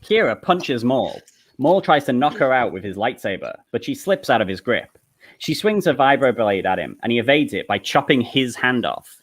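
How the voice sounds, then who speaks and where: A man's voice: medium pitch, very animated, moderate pace. A man reading stage directions as narrator in a live comedic table read, with an amused manner.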